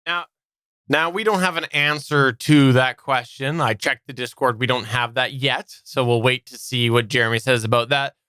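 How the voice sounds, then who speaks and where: A man's voice: mid-range voice, steady tone, normal speed, pushed and bright, smooth, no echo. A man hosting a podcast in a casual, conversational discussion style. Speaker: podcast host.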